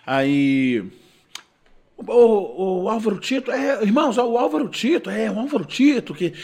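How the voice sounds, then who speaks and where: A gospel singer tells his testimony in a husky, breathy voice that sits fairly high for a man. His pitch swings widely as he acts out the people in his story. He goes from loud bursts to hushed murmurs. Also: unhurried. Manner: animated, acts out dialogue and shifts between loud and hushed. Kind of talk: telling a personal testimony.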